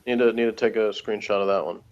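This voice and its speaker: A man's voice: fairly low pitch, steady tone, fast, a little mellow, clear, resonant tone. Wry man in slightly dull lo-fi audio. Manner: wry, bluntly opinionated, joking. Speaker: co-host of a TV episode review commentary. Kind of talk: casual reaction chat.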